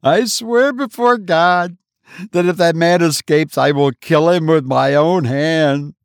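A man reading an audiobook, voicing a distraught, vengeful wife with pleading, shrill outbursts. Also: animated, moderate pace, gravelly, dry recording, clean studio sound. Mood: desperate. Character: distraught middle-aged wife, vengeful and desperate. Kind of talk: audiobook character voice.

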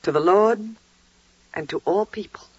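An actress playing a devout, quietly joyful older biblical wife with reverent, deliberate delivery in a 1940s radio drama. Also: female voice, on the low side, husky, dry recording. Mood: solemn. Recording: muffled, dry vintage 1940s radio recording.

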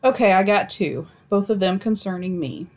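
A woman's voice: medium pitch, normal speed. Wry woman reading stories aloud. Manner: wry, deadpan asides and swearing. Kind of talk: casual chat reading posts aloud.

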